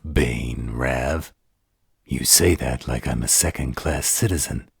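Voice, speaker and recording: A man voicing a gruff, resentful, defiant werewolf in a roleplay audio drama, gravelly, raspy and blunt. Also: a bass voice, no room sound, studio quality, heavily acted.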